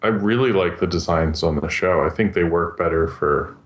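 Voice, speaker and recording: A man's voice: low-pitched, moderate pace, a little hiss or hum. A man in a podcast chat who rambles, trails off and plays down his own opinions. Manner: rambling, trailing off, self-deprecating.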